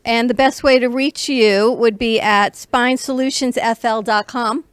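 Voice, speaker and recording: A woman's voice: on the high side, steady tone, a little slow, clean tone, no room sound. A woman hosting a health talk show with an upbeat, polished presenter delivery. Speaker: show host.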